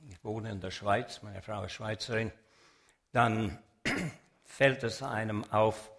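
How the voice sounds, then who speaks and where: Slow-talking man. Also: fairly low pitch, fairly bright tone. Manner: deliberate, explanatory, addresses audience directly. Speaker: speaker giving an illustrated religious talk. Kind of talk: public lecture with sermon message.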